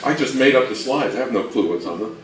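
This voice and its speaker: A man's voice: mid-range voice, lively intonation, quick pace. A man co-presenting an informal slide talk in a joking, conversational way. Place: room presentation before an audience. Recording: roomy, distant mic, faint background noise.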